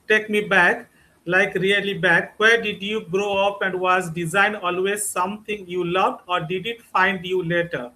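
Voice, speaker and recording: A man's voice: sits high, steady tone, measured pace, bright, forceful tone, rough recording. A man hosting a podcast interview, asking prepared questions. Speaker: podcast host.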